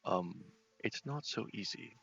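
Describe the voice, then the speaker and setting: A man's voice: fairly low pitch, expressive, measured pace, fairly bright tone, muffled recording, soft background music, exaggerated voice. A man voicing an eccentric, polite, muddled scientist with fussy speech in an ASMR game roleplay. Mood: apologetic.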